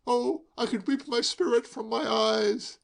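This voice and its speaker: Tipsy exuberant young gentleman, voiced by a male narrator, pitched high, booming theatrical delivery. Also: unhurried, vocal fry, exaggerated voice. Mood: jubilant, theatrical. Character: drunk, jubilant young man quoting Shakespeare.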